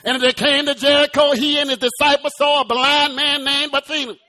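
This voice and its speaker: A man preaching a sermon in church, shouting and half-singing rhythmic lines and calling out repeatedly to the congregation. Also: extremely high pitch, level tone, fairly quick. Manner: repetitive call-outs to the congregation.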